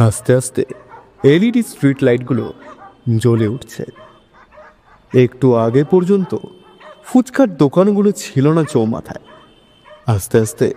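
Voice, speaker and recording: A man with a West Bengal accent narrating a scripted love story, expressive and conversational, speaking straight to listeners. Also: medium pitch. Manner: expressive, conversational, with rhetorical questions. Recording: long reverb with music behind.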